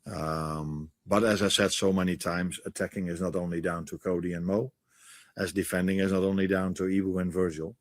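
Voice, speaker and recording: A man giving a measured press conference answer, pausing with fillers. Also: deep voice, level tone, no echo. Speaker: football manager at press conference.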